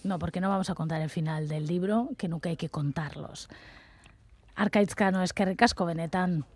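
A woman's voice: fairly low pitch, normal speed, a little mellow, slightly rough. A woman with an accent from Spain hosting a literary radio interview. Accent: Spanish from Spain. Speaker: radio host interviewing a guest.

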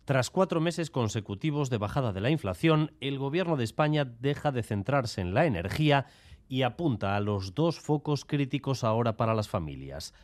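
A man anchoring a radio news bulletin, reading the headlines in a measured, formal way. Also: mid-range voice, animated, darkish tone. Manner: measured, formal newsreader delivery.

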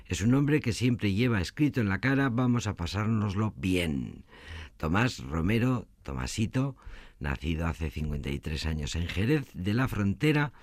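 A radio presenter with a low voice that rises and falls freely as he chats between songs. The studio sound is dry and close, with no room around him, and he talks casually, restarting a phrase now and then. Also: moderate pace, no room sound. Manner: chatty, lively, with small restarts.

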